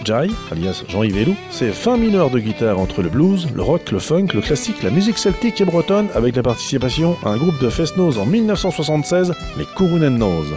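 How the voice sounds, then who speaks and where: A man's voice: on the high side, very animated, a little fast, hardly any pauses. A man presenting a radio segment, reading a scripted introduction of a guest. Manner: reads a prepared script. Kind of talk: scripted introduction over music. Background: guitar music.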